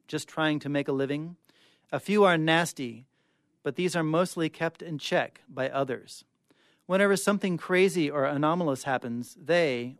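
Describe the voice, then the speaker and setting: A man with a clear, smooth voice giving a dramatic reading of short stories as a guest reader. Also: a little high, no echo, studio quality. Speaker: guest reader of short fiction on a radio show.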